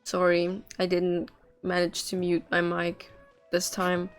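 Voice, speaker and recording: A woman's voice: fairly low pitch, completely flat delivery, takes it slowly, faint music behind. A woman streamer giving casual, offhand live reactions while playing a game.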